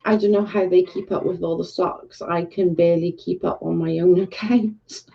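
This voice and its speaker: A woman's voice: fairly low pitch, steady tone, normal speed, creaky, breathy edge, muffled recording. A Welsh woman hosting a casual livestream, talking bluntly and with exasperation to her chat. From Wales. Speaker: livestream host.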